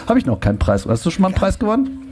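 A man's voice: high voice, racing, music in the background. A man hosting a podcast on stage before a live audience, chatting casually and joking a lot. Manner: joking, laughs often, lively delivery. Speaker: podcast host.

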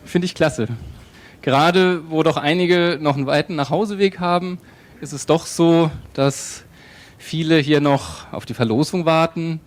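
A man hosts the closing session of a conference in a hall. His voice sits a little high, and he talks in a friendly, chatty way, restarting sentences with many fillers. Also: unhurried. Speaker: event moderator.

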